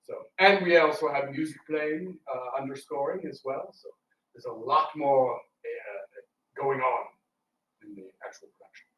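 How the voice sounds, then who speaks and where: A man's voice: medium pitch, a little slow, fairly bright tone. A man giving an informal live talk as a theatre presenter. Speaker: radio theatre co-founder and presenter. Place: public library room. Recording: echoey room, distant mic.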